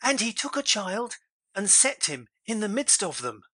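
An earnest, gentle lad played by a woman narrator in a storybook reading. His voice is bright and a little husky, with very expressive rises and falls. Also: mid-range voice, a little slow, no echo, heavily acted. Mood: solemn. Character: earnest, gentle young boy, eldest son. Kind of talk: dramatised audiobook character voice.

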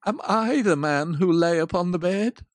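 A woman's voice: lowish voice, animated, measured pace, no room sound. A woman voicing Scrooge, a frightened old miser. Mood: desperate. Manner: loud, urgent, beseeching.

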